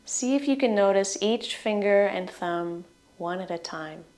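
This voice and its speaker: A woman guides a relaxation meditation at a very slow, unhurried pace. Her mid-pitched voice gives gentle, soothing instructions in short phrases, letting the ends trail away.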